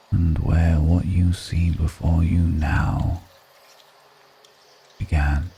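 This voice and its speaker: A man playing an ancient, refined, wistful male vampire in an intimate ASMR roleplay, speaking slowly and formally with measured pauses. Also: a bass voice, gravelly, warm tone, breathy edge, heavily acted. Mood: wistful, solemn. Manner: slow, measured, pausing between phrases, formal wording. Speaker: voice actor in an audio roleplay.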